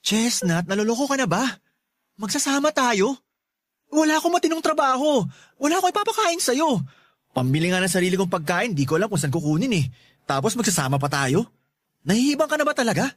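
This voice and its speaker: A young, down-on-his-luck Filipino boyfriend in a radio drama. His high voice swings widely in pitch, exasperated and full of self-doubt, as he argues in a dry studio sound. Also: sing-song, a little fast, dry recording. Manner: incredulous, exasperated, firing rhetorical questions.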